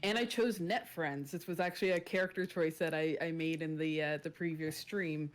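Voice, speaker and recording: A woman's voice: deep voice, steady tone, normal speed. A woman talking casually as a player explaining her tabletop game character. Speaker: tabletop role-playing player.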